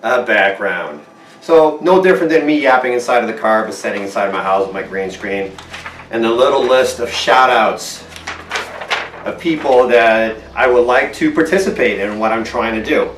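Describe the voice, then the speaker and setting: A man's voice: medium pitch, normal speed, fairly bright tone, recorded from a distance, slight background noise. Fired-up man in an echoey room. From Portugal. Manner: blunt, fired-up, swears freely. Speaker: car vlogger. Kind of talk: casual vlog monologue. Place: inside his house, in front of a green screen.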